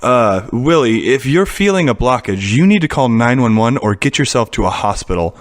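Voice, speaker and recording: A man's voice: medium pitch, big pitch swings, normal speed, no echo, natural voice. A dry, close recording of an actor playing a weary hotline operator. The man has a mid-range voice and a matter-of-fact, long-suffering patience suited to comedy radio drama.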